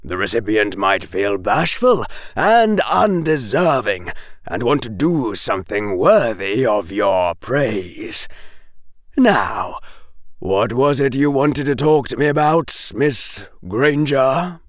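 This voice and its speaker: Man playing a slow, grave male professor. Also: medium pitch, lively intonation, no room sound, studio quality. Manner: grave, deliberate. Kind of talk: audiobook character voice.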